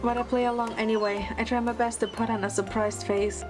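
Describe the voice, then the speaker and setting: A woman's voice: on the high side, level tone, fairly quick, close to an everyday voice. A woman playing a playful, teasing young heroine who narrates her story in an audio drama, in the first person. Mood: gentle narration. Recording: music under the voice. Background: background music.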